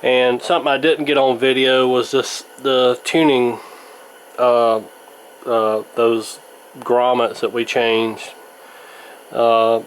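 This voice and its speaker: A man's voice: mid-range voice, little pitch movement, slow, pauses often, a little hiss or hum, rough recording. A man giving a casual, unscripted repair demonstration in a folksy, rambling, hesitant way. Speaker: host of a vintage radio repair channel.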